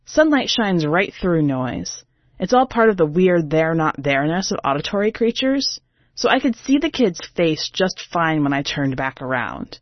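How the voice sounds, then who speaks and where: A woman's voice: low-pitched, sing-song, moderate pace, no room sound, lo-fi sound. A woman reading a first-person story as a brash, sardonic singer, in a slangy, emphatic, conversational way. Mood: wry. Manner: sassy, slangy, irreverent, emphatic. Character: brash, sardonic urban woman singer, self-declared diva, telling her tale.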